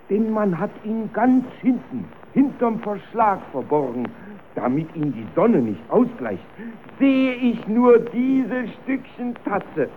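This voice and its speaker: A muffled old radio drama recording of a sad, devoted zookeeper. He declaims slowly and plaintively, in florid phrases. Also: high voice, slight background noise. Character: sorrowful, devoted male zookeeper mourning his lion. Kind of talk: old German radio play monologue.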